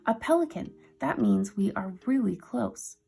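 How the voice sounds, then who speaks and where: A woman's voice: mid-range voice, lively intonation, measured pace, vocal fry, mellow, slightly rough, exaggerated voice. A woman voicing a clever, practical young female mouse who speaks with confidence. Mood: confident.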